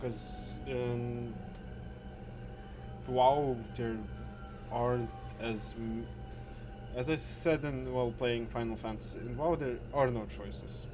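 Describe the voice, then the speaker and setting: A man doing casual gameplay commentary, halting and repeating words, with frequent swearing. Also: mid-range voice, steady tone, music in the background. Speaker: gaming video host.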